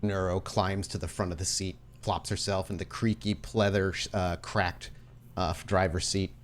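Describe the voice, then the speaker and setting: A man's voice: deep voice, level tone, measured pace, slight background noise. A man working as game master, narrating tabletop scenes descriptively.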